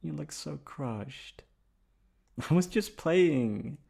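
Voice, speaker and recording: A man playing an affectionate, teasing young boyfriend in a roleplay audio, coaxing playfully with drawn-out words. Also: a little high, expressive, vocal fry, mellow, slightly rough, pitched above the performer's own voice, full character voice. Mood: mock sulky, teasing. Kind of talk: roleplay ASMR audio acting.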